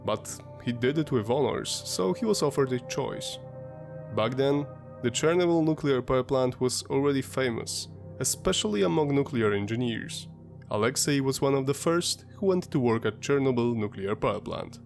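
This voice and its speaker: A man's voice: mid-range voice, lively intonation, gravelly, soft background music. Congested male narrator. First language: Polish. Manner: measured, explanatory storytelling. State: congested from a sinus infection. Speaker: history channel host. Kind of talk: scripted documentary narration.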